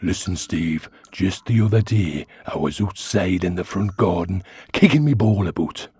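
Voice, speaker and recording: A man voicing a chatty, insistent oddball worm-like butler in a radio sitcom, with a raspy British voice. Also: sits low, animated, moderate pace, a little mellow, dry recording, clean studio sound, full character voice. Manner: chatty, insistent, over-familiar. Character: talking worm and shambling butler, pestering oddball. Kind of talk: comedy radio sitcom acting.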